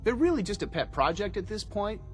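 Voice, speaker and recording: Game scientist: polite, enthusiastic department head, high-pitched and animated, over background music. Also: male voice, fairly quick. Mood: enthusiastic explaining. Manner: polite, eager, articulate explaining. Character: enthusiastic, courteous male scientist, head of bioscience division. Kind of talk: video game dialogue acting.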